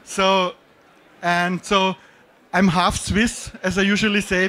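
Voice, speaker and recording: A man's voice: sits high, fairly bright tone. A middle-aged man from Austria with an Austrian German accent, giving a joking, self-deprecating talk on a conference stage with a handheld mic. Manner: self-deprecating, jokey, with slightly non-native phrasing. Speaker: conference speaker. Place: dim conference stage with audience.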